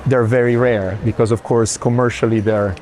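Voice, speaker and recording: A man moderating an art conversation in a measured, explanatory way, recorded in a busy fair hall. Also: mid-range voice, fairly even intonation, darkish tone. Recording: clear background noise of a busy venue.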